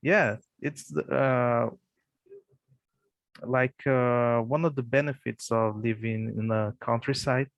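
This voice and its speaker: Slow, hesitant Brazilian man. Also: medium pitch, fairly even intonation, gravelly, dry recording, muffled recording. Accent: Brazilian Portuguese. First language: Portuguese. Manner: hesitant, many fillers and restarts. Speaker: English learner. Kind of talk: casual online English practice conversation.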